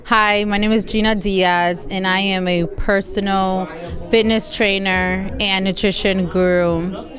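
A woman's voice: mid-range voice, fairly even intonation, unhurried, fairly bright tone. A young woman from the Dominican Republic, a fitness trainer, speaking enthusiastically into a handheld mic at an event. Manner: upbeat, enthusiastic praise. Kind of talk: event interview testimonial. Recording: event crowd and music behind.

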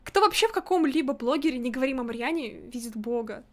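High, fast-talking woman streamer, blunt. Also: animated. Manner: blunt, opinionated, quick to nitpick. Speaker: streamer reacting to a music video. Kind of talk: casual critical commentary. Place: home streaming room, indoors. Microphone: large studio mic in front, headset on.

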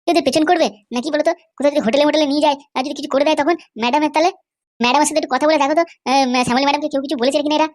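Man voicing a squeaky, rapid-fire cartoon village lad. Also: fairly even intonation. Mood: nosy. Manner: blunt, suspicious. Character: bold, nosy young village lad, warning strangers. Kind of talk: comic cartoon dubbing.

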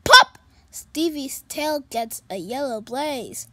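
A storyteller reading a children's picture book aloud in an expressive, animated way. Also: high-pitched, slow pace, no echo. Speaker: storybook reader.

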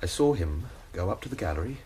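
A man's voice: low voice, sing-song, fast, mellow, slight background noise, poor audio. An actor playing an anxious young male student, telling his story in a rambling, worried way in a radio drama. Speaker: student suspect. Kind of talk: BBC radio drama acting.

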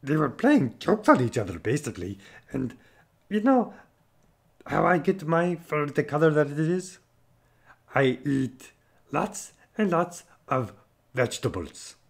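A furry cartoon monster for a children's show. The voice is raised, creaky and husky, with swooping, chirpy delivery and friendly, playful exclamations. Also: male voice, fairly high pitch, big pitch swings, measured pace, pitched above the performer's own voice, exaggerated voice.

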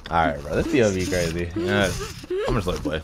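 A man in his twenties with an American accent, reacting casually and slangily, animated and easily flustered. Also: low-pitched, a little fast, a loud crowd. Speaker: reaction video host. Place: small indoor room.

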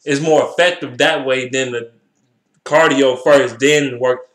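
A man's voice: mid-range voice, steady tone, measured pace, a bit bright. An American man in his twenties chatting casually to camera in slangy, profanity-heavy speech, often repeating himself. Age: 20s. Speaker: vlogger. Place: small bare indoor room. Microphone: webcam at arm's length. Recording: echoey room, webcam-style microphone.

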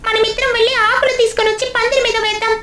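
A woman's voice: extremely high pitch, level tone, very fast, sharp tone, echoey room, voice pushed up. A woman voicing an anxious, practical grown-up village bird in a cartoon story, earnest and sing-song. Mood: concerned. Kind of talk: cartoon moral story character acting.

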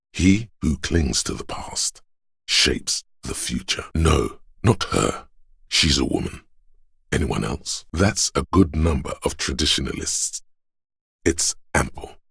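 A menacing, brooding inner voice from a video game, speaking from the very bottom of the male register. The delivery is slow and solemn, thick with gravelly creak. It coaxes and commands like dramatic narration, gruff and deliberate. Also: a bit bright, no room sound, studio quality, heavily acted. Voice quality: very deep, gravelly, husky rasp. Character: gruff, menacing inner voice of a man's body, reactionary, coaxing.

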